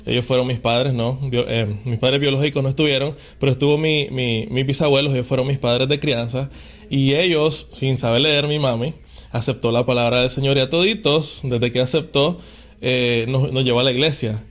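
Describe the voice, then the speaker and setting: A man hosting a faith interview, chatty and quick with affirming interjections. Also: mid-range voice, fairly even intonation. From Nicaragua. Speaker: host.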